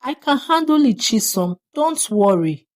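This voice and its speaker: A mischievous little girl, put on by a woman talks breezily and dodges defensively. The voice lifts high and bounces around in pitch like a storybook character. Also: sing-song, a little slow, a little mellow. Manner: breezy, evasive.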